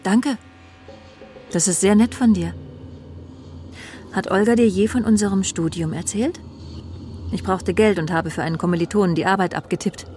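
A woman's voice: mid-range voice, moderate pace, long pauses, dark tone, a little hiss or hum, lightly acted. An actress in a radio play, playing an embittered, defensive career woman who speaks in clipped dialogue and confides in inner monologue. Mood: guarded, prickly. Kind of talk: radio play dialogue and first-person narration.

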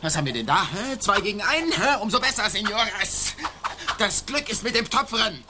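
An actor playing a boastful, swaggering Spanish colonial army sergeant in a theatrical, declamatory radio play style. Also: male voice, high voice, very animated, a little fast, very noisy. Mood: boastful, fiery.

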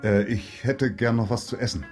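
An actor playing a naive, uncouth junior detective in a radio crime comedy, colloquial, hesitant and clumsily chummy. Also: male voice, medium pitch, normal speed, a little mellow, soft background music, close to an everyday voice. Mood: flustered, chummy. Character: naive, uncouth male junior detective, good-natured and bumbling.

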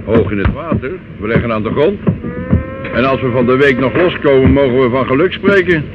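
An actor in a Dutch radio play, playing a cool river barge mate with an offhand, sardonic way of talking. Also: male voice, mid-range voice, fairly quick, natural voice. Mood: offhand, wry. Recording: dull, noisy old radio recording.

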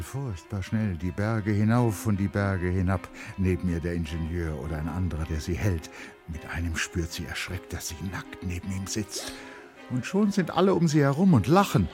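Deep-voiced male literary narrator. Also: a little mellow. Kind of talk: literary radio play narration, scripted.

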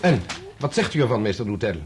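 An actor playing an indignant bandleader of twenty-nine in a radio play, curt in manner. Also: male voice, on the low side, big pitch swings, quick pace, a little mellow, slight background noise. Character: indignant 29-year-old bandleader son defending his dead father.

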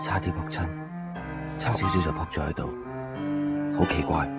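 A male actor plays an earnest young man with a fairly low voice and an introspective, brooding manner. It is radio drama dialogue and monologue, slightly dull in sound, with loud music underneath. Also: fairly even intonation, moderate pace, natural voice.

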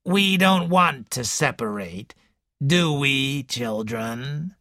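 A man's voice: mid-range voice, lively intonation, bright, forceful tone, no echo, studio quality. Wheezing domineering old woman, voiced by a male narrator. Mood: cold, controlling. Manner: slow, cold, controlling. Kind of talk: audiobook character reading.

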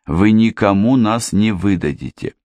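Cool, steady young woman, as a man voices her. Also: on the low side, measured pace, dry recording, voice pushed up, lightly acted. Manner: calm, level, pointed. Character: cool, sharp-eyed young woman, calm and firm. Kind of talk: audiobook character voice.

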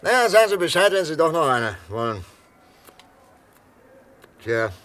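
A man playing a calm, coaxing psychiatrist in a 1960s German radio thriller, talking in a hesitant, conversational way. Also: a little high, measured pace. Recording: 1963 radio recording, faint noise.

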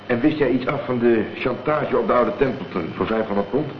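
An actor playing a laconic, wary private detective in a radio drama, speaking in a terse, matter-of-fact way. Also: male voice, mid-range voice, brisk, lightly acted. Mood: probing. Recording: dull, faintly noisy old radio play recording.

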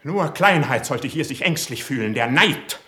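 A bright, forceful young poet, proud and easily wounded. He declaims classical verse for a radio play with fervour, able to move between hushed intensity and high passion. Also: animated, moderate pace. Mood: outraged. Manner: declamatory, fervent, swinging from hushed to outraged.

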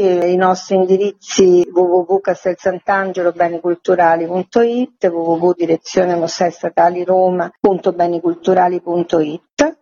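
A woman gives practical information as a radio guest. Her voice is mellow and husky, and she moves through details like a list. The sound is close, dry and a little dull. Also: lowish voice, no room sound. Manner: list-like, reading out web addresses. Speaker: museum representative guest. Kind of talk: informational radio interview answer.

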